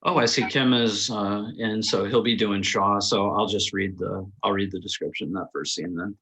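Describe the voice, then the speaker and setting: A man's voice: lowish voice, fairly even intonation, normal speed, bright, forceful tone, low-quality recording. A man talking casually on a group video call, as one of a team sorting out plans. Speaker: member of film team at a table read. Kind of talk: casual chat.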